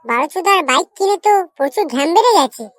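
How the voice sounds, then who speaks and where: An actor voicing a cheeky, nosy, excitable young sidekick in a comedy cartoon. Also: very high-pitched, quick pace, no room sound. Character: squeaky, cheeky small young club sidekick. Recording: clear noise behind.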